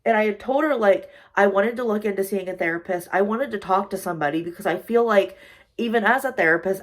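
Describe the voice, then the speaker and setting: A woman in her twenties talks straight to her viewers in a loose, rambling way, leaning on fillers as she works through her worries. Her voice sits at an ordinary middle pitch. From United States. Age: 20s. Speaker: social worker and vlogger. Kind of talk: casual chat.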